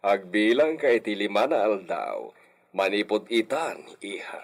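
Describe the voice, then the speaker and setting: A man plays a lordly master of the house in a radio drama. He speaks slowly with swooping intonation, drawing out his words and dropping in Spanish. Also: on the low side, very animated, vocal fry, full character voice. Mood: doting, amused. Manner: drawn-out, sprinkles Spanish words. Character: haughty Spanish-speckled señor, doting father of little girl. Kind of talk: Filipino audio drama acting.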